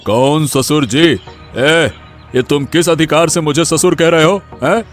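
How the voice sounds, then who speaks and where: A man's voice: a little high, a little slow, soft background music, natural voice. A man playing a principled old village father in a story, speaking earnestly and emphatically. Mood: indignant, defiant. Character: worried, principled old village father, indignant when threatened. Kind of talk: Hindi audio story dramatic acting.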